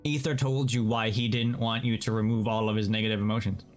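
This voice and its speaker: A man's voice: fairly low pitch, flat delivery, fast. A man giving casual commentary as he reacts to a game he is playing. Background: faint game music.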